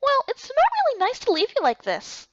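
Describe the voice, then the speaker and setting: Bubbly young fantasy-game chatterbox, very high and swooping, dull dry recording. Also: female voice, wildly swooping pitch, dry recording, heavily acted. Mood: excited, concerned. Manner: bubbly, rapid questions. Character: excitable, chatty, kind-hearted young fantasy game character.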